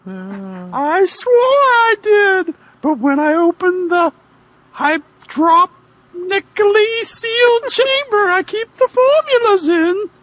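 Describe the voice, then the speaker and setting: A man voicing a whiny, remorseful old father in a shrill, melodramatic falsetto for a mock-dramatic fan fiction reading. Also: sing-song, fairly bright tone, no echo, heavily acted, low-quality recording. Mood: remorseful whining. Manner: slow, drawn-out, melodramatic.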